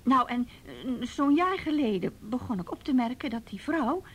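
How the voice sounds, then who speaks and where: An actress playing an anxious, well-meaning, gossipy neighbour in a radio play, speaking in a hesitant, confiding and halting way. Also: female voice, a little high, big pitch swings, breathy edge. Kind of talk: Dutch radio drama dialogue.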